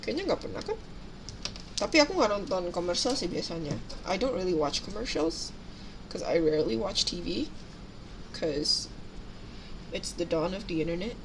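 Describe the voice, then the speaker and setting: A woman's voice: fairly low pitch, sing-song, moderate pace, long pauses, background noise. A young woman from Indonesia, chatting casually and switching between two languages on a livestream while she paints miniatures. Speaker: streamer.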